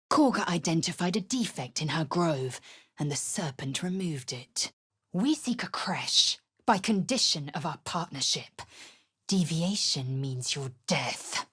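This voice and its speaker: A woman's voice: deep voice, husky, exaggerated voice. An actress voicing a proud, stern githyanki warrior woman from a video game, talking in a clipped, commanding, formal and scornful way. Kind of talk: video game character dialogue.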